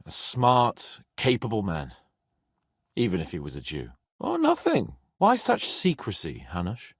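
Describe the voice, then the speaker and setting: A man's voice: fairly low pitch, huge pitch swings, unhurried, slightly rough, dry recording, clean studio sound, heavily acted. An actor playing a haughty, hot-tempered young medieval nobleman in a video game, with a petulant, exclamatory manner. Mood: subdued, pensive. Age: young adult.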